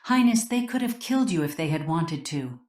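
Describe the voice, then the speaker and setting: Woman doing a calculating demon lady for an audiobook, formal careful speech, lowish, echoey. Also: sing-song, a little mellow. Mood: urgent pleading. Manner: formal, measured. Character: calculating female demon defector pleading with her superior. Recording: roomy, echoing sound.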